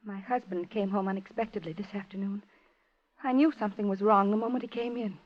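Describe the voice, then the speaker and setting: A woman's voice: mid-range voice, steady tone, brisk, close to an everyday voice. An actress playing an anxious, desperate married woman in a 1950s radio drama, tense and pleading. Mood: worried. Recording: muffled old-time radio recording.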